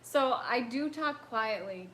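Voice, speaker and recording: A woman in her thirties co-hosting a casual live chat, talking quietly and with a dry wit. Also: fairly high pitch, lively intonation, takes it slowly, slight background noise, rough recording. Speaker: co-host.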